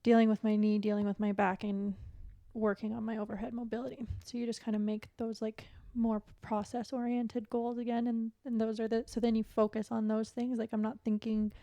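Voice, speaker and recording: A woman with a fairly high voice chats candidly as a podcast guest. Her delivery is halting and reflective, full of filler "like", and stays fairly even in tone. The sound is close and dry. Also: no room sound. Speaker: podcast guest, competitive athlete and coach. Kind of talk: candid casual interview chat.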